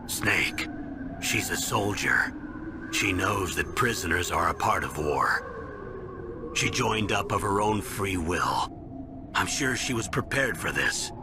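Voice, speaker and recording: Older male colonel: lowish pitch, firm, clipped, commanding game dialogue over music. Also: expressive, slow pace, long pauses, full character voice. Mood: grave. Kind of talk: video game cutscene acting.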